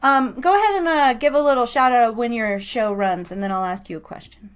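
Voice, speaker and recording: A woman's voice: on the high side, fairly quick, smooth. A woman hosting a live online radio show, chatting casually and playfully with listeners and a guest. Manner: chatty, playful, informal teasing.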